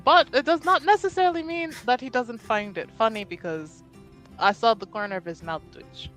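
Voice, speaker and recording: A woman's voice: on the high side, moderate pace, a bit bright, soft background music. A woman playing a tabletop game, casually improvising narration of what her character does.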